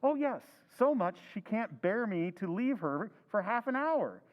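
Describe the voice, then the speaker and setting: An actor playing a flustered, excitable henpecked husband in an old-time radio drama recreation. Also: male voice, high-pitched, unhurried, in a large hall, lo-fi sound.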